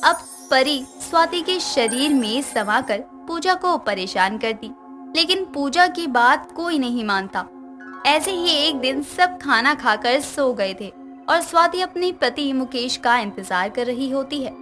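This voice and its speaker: A woman's voice: high voice, animated, a little slow, bright, forceful tone, faint music behind. A woman narrator reading a scripted moral bedtime story.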